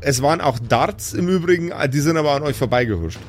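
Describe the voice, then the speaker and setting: Higher-voiced man narrating as game master. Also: lively intonation, fast, faint music behind. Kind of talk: improvised narration of a tabletop fantasy audio play.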